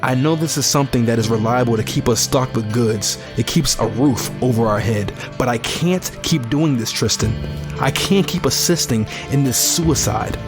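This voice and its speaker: A man plays a devout man weighed down by guilt in an audio drama. His voice sits at a middle pitch and he speaks with earnest, solemn weight. Music plays clearly behind him. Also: moderate pace, natural voice. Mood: remorseful. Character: Jordan, guilt-ridden devout man working at a deadly fight ring. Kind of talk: audio drama acting with inner monologue and prayer.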